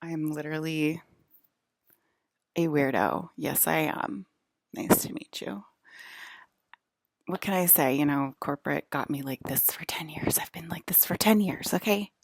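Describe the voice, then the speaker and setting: A woman's voice: sits low, unhurried, pauses often, no echo, clean studio sound. A woman in her forties from New Jersey, rambling through a casual, chatty monologue that keeps breaking off and slipping into whispered asides. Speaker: vlogger.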